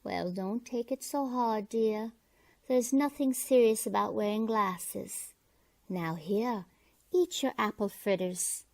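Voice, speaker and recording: A woman's voice: mid-range voice, slow pace. A woman playing a kindly, chatty landlady in old-time radio comedy, folksy and fussing. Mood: motherly fussing. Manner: folksy, fussing over her lodger.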